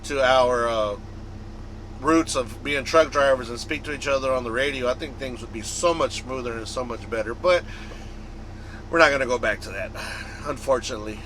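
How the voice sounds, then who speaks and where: A man's voice: mid-range voice, normal speed, a bit bright, slight background noise, rough recording. A man from the United States, a trucker vlogger, talking casually to his viewers in a rambling, conversational way that circles back and repeats. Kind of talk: casual vlog talk to viewers.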